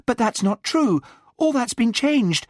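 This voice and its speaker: A man's voice: very high-pitched, expressive, a little slow, no echo, clean studio sound, voice pushed up, full character voice. A man voicing a young woman learner driver, speaking in a shaky, desperate way.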